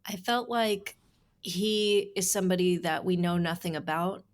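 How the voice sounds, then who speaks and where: A woman's voice: fairly low pitch, measured pace. A young adult woman author being interviewed, speaking earnestly and with animation, often saying "like" in casual chat. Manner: earnest, animated, heavy use of "like". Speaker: author and staff writer, guest.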